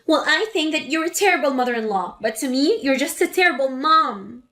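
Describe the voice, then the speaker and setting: A woman's voice: sits high, expressive, a little fast, faint music behind, full character voice. A woman voicing an angry grown daughter who confronts her mother sharply. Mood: indignant. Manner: sharp, indignant.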